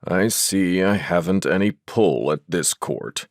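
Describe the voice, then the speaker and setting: An actor playing a proud, self-important corkscrew lawyer in a drawling voice for an audiobook. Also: male voice, sits low, measured pace, no echo, clean studio sound. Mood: dejected. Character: proud crooked corkscrew lawyer, living utensil.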